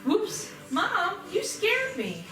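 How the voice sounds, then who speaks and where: A woman playing an excitable, doting partygoer with a gushing, exclamatory manner. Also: very high-pitched, very slow, full character voice. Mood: excited gushing. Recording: echoey, distant, loud music behind.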